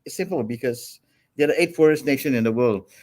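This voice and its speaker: A man in his forties from Singapore, a guest on an interview show, telling his story in a relaxed, steady way with many ums. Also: medium pitch, brisk. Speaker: guest entrepreneur.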